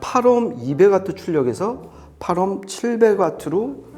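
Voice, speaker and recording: A man's voice: high voice, expressive, unhurried, darkish tone, faint music behind. A man reviewing audio gear, explaining it conversationally to a co-presenter.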